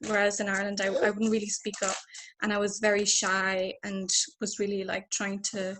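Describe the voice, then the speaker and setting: A woman's voice: mid-range voice, monotone, moderate pace. A woman in her twenties from Romania, telling her personal story earnestly on a video call panel, with many fillers and restarts. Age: 20s. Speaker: panel speaker. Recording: clear noise behind, baby at home.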